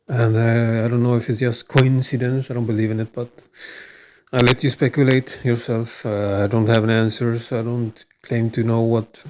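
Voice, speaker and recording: A man from Sweden speaks in Swedish-accented English as he hosts his own video channel. His talk is loose and unscripted, full of pauses and "uh"s, and he restarts sentences as he goes. His voice sits at an ordinary middle pitch for a man. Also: level tone, mellow, slight background noise. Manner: halting, many filler sounds and restarts. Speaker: channel host. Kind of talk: casual monologue to camera.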